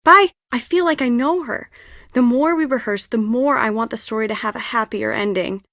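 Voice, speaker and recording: A young woman who directs plays, stressed and theatrical, in adventure game dialogue. Her voice sits fairly high and is recorded very dry. She speaks expressively, as if confiding in the listener. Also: normal speed, a bit bright, no echo. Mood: confiding, troubled. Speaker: video game voice actor.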